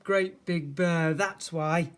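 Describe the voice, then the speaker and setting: A woman voicing a small, shy, excitable little boy in a children's storybook, with a squeaky, childlike voice. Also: slow pace. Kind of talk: children's storybook read-aloud.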